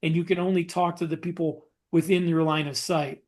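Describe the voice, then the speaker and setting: A man's voice: fairly high pitch, level tone, brisk, darkish tone. A man co-hosting a writers' podcast, explaining things in a casual panel discussion. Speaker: podcast co-host and military science fiction author.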